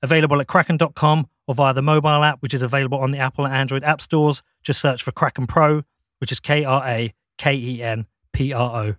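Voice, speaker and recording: A man's voice: mid-range voice, steady tone, brisk, a bit bright, no room sound, clean studio sound. A man reading a sponsor message in an upbeat advertising style. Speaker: ad announcer.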